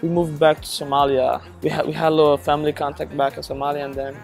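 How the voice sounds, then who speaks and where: A young man from Ethiopia talks about his high school years, filling his sentences with "like". His voice sits a bit high, he is recorded outdoors, and soft music plays underneath. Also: quick pace, creaky. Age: young adult. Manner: relaxed, with fillers like "like". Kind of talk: casual testimonial. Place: outdoors by a brick building.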